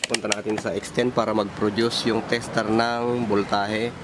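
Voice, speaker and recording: A man with a Filipino accent giving an informal hands-on technical demonstration, halting and thinking aloud as he points things out. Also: fairly low pitch, level tone, heavy background noise. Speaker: electronics hobbyist presenter.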